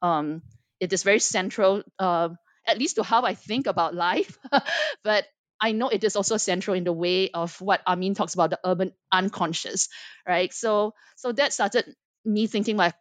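A woman's voice: medium pitch, expressive, normal speed, no room sound. A woman from Singapore in her forties, an author answering interview questions thoughtfully, with many ums and restarts. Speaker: guest author. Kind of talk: academic interview.

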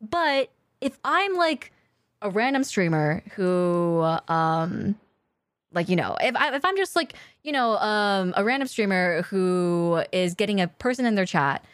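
A woman co-hosting a casual talk show for streamers, chatting with playfully cynical, deadpan teasing. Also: medium pitch, unhurried, no echo, clean studio sound. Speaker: podcast co-host and streamer. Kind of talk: casual chat, reacting to online posts.

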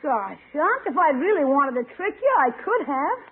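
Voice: high-pitched, very animated, normal speed, narrow, tinny audio. A performer playing a scheming, whiny young schoolboy who pleads and exclaims in 1940s radio comedy style, on an old broadcast. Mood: pleading.

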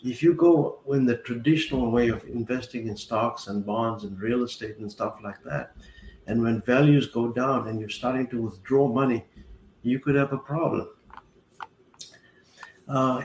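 A man in his sixties, a retirement adviser guest explaining finances in a measured, methodical way over a video call. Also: medium pitch. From South Africa. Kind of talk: explanatory interview answers. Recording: roomy call audio with faint hiss.

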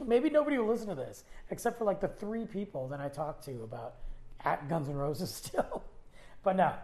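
A man's voice: high voice, very animated, normal speed, a little mellow. A man hosting his own podcast, talking casually and rambling in a self-deprecating way from his parked car. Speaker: podcast host. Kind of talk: casual solo podcast chat.

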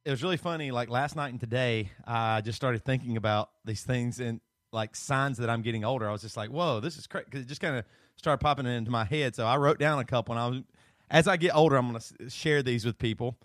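A man in his late thirties with a Southern drawl, co-hosting a casual podcast. He tells long, rambling stories and laughs often at his own jokes. His voice sits at a middle pitch, recorded close and dry. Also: fast talker, fairly bright tone, no room sound. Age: 30s. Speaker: podcast co-host. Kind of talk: casual chat.

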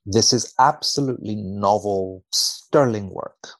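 A man speaking as a researcher guest on a podcast, dry and mock-serious in a measured delivery. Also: lowish voice. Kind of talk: podcast chat and reading aloud from a study.

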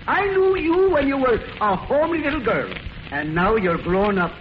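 An actor playing a jovial, blarneying policeman, genial and flattering, in 1940s radio comedy style. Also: extremely high pitch, normal speed, music in the background. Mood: teasing, flattering. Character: blarneying, jovial male Irish-American police officer. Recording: muffled, hissy 1940s radio recording.

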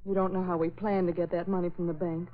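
A woman's voice: fairly low pitch, flat delivery, fast, close to an everyday voice. A woman playing a scheming, pleading blonde gun moll in 1940s radio melodrama style. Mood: wheedling. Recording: muffled old radio with faint noise.

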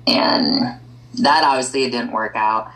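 A woman's voice: very deep voice, steady tone, slow, gravelly, fairly bright tone, low-quality recording. A woman speaking as a podcast guest in a casual conversation, easygoing and thinking aloud with frequent ums and likes.

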